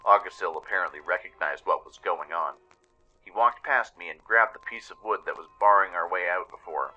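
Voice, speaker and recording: Deep, very bright male dramatic narrator. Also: normal speed, lo-fi sound, soft background music. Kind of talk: dramatic scripted first-person narration.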